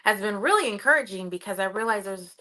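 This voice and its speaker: Woman from Cameroon, young adult, bright clear voice, chatty, lots of fillers. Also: medium pitch, animated, quick pace. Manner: chatty, many fillers, laughs while telling her story. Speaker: podcast guest. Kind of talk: casual podcast interview.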